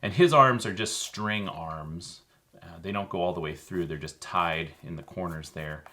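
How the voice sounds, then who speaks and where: A man with a very low voice talks through a how-to in a relaxed, easygoing way. He co-hosts the show and fills his explanations with ums and uhs. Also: normal speed. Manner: relaxed, explanatory, many fillers. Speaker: co-host. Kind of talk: casual how-to chat.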